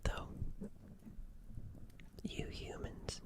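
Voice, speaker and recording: A performer voicing a gentle, friendly alien in a breathy whispered ASMR roleplay, soothing and rambling, repeating words. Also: drawn-out words. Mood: wistful, musing. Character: gentle friendly alien comforting a crashed human.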